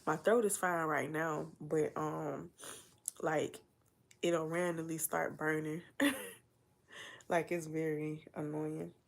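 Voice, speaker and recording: A woman's voice: deep voice, little pitch movement, slow, gravelly, pauses often. A young woman with a stuffy nose from a cold, chatting casually and rambling on a livestream. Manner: chatty, meandering, full of fillers and asides. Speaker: livestream host.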